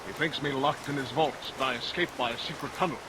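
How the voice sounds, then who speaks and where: An actor playing an earnest, wronged young suitor who speaks formally in a vintage radio melodrama. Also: male voice, medium pitch, fast talker, lightly acted. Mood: resolute. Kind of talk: old-time radio melodrama acting. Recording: noisy vintage radio recording under rain sounds.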